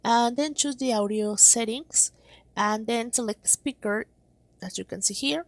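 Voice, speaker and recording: Woman with a highish voice doing a casual software walkthrough, kinda halting, really dry sound. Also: no room sound. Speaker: tutorial presenter. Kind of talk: casual screen tutorial narration.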